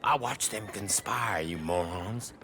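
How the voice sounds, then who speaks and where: An actor playing a contemptuous, accusing skeptic in a game cutscene, speaking bluntly. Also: male voice, lowish voice, unhurried, sharp tone, a little hiss or hum. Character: scornful, accusing male skeptic in old West. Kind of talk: video game cutscene acting.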